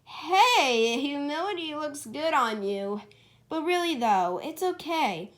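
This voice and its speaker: An excitable young hedgehog girl from a cartoon storybook, put on by a woman reader. She is shy and quick to fluster, with a high voice and drawn-out, slow delivery. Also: heavily acted. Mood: bashful. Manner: bashful, easily flustered.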